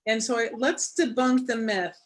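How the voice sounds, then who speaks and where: A woman's voice: a little high, moderate pace. A woman hosting a live online interview, asking rambling, encouraging questions. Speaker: host of a live stream.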